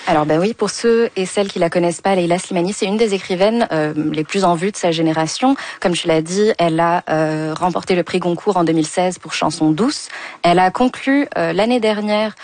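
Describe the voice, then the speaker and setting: Female book commentator, slightly low voice, careful and articulate in a panel setting, dry recording. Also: a little fast, a little mellow, no echo. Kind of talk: semi-prepared panel commentary. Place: dry studio.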